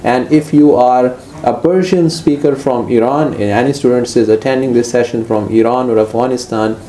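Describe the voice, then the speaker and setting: A man's voice: medium pitch, steady tone, moderate pace, warm tone, slight background noise. A Pakistani man whose first language is Urdu, speaking English with a Pakistani accent, making points hesitantly with "uh" fillers. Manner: hesitant, with frequent "uh" fillers, addresses the other as sir. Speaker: co-host and former student. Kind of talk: discussion with a teacher. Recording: roomy echo, faint ambience.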